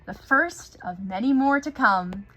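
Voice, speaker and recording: A woman voicing a gentle, earnest young royal sister in a storybook. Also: a little high, animated, measured pace, soft background music, low-quality recording. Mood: happy.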